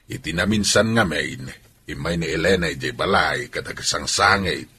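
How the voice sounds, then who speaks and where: A man playing a friendly, concerned in-law with a raspy voice in a radio drama. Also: a bass voice, expressive, normal speed, bright, forceful tone, full character voice. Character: friendly, concerned in-law bringing vegetables to the family. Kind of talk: radio drama acting in dialogue.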